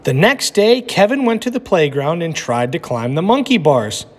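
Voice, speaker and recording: Animated male teacher in an echoey room. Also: a little high, normal speed. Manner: instructive, checks in with listeners. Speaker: teacher reading aloud. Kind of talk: lesson and storybook narration. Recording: echoey room with long reverb.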